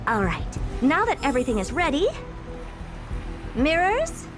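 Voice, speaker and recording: A self-assured genius researcher from a video game in a lively, swooping voice. She is playful yet condescending and gives orders with theatrical flair. Music plays clearly behind her. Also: high-pitched, a little slow. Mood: commanding.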